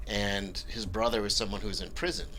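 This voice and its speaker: A man's voice: fairly low pitch, steady tone, fairly quick, bright, forceful tone, poor audio. A man talking casually as an interviewed screenwriter, telling stories with acted-out asides. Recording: faint background noise.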